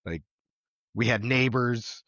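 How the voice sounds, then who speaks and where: A man telling his life story as a podcast guest, reflective, with many pauses, ums and soft laughs. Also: medium pitch, no echo, muffled recording. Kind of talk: candid personal conversation.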